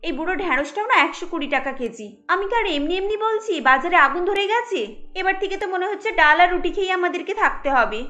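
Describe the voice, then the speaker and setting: A very high, sharp voice of an irritable cartoon housewife played by a woman. She complains and scolds like a harried mother defending herself over household troubles. Music plays faintly behind her. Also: expressive, fairly quick, highs cut off, full character voice. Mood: exasperated complaining. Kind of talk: cartoon voice acting.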